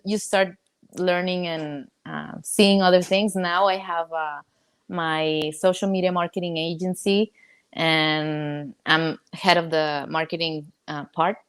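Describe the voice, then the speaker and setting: Mexican-accented woman, halting and low-pitched. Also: fairly bright tone, smooth, no echo. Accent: Mexican Spanish. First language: Spanish. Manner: halting, searching for words, with frequent fillers and restarts. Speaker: coaching guest. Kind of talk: casual conversation in a live video coaching session.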